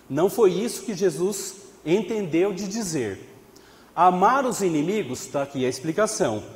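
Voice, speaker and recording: A man's voice: a little high, a little mellow. Brazilian man lecturing in an echoey hall. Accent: Brazilian Portuguese. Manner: conversational, asks rhetorical questions, frequent tag questions. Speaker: study group leader at a spiritist center. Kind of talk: explanatory religious study talk. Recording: echoey hall with long reverb.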